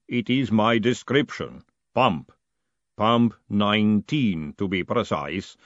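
A man voicing a huge, placid clay golem who speaks slowly and deliberately in a deep rumble. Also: no echo. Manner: slow, deep, rumbling, measured and literal. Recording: dull lo-fi recording.

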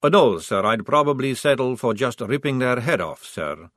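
A man voicing a calm, polite male vampire recruit who speaks patiently and deadpan. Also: mid-range voice, normal speed, no room sound, studio quality, lightly acted.

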